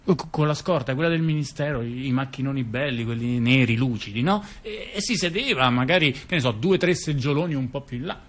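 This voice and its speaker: A man's voice: mid-range voice, brisk, few pauses. A man spins a rambling tale for a radio theater piece. His talk is chatty and digressive, and he keeps breaking off for asides and fillers. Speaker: theater performer. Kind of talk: theatrical storytelling dialogue on radio.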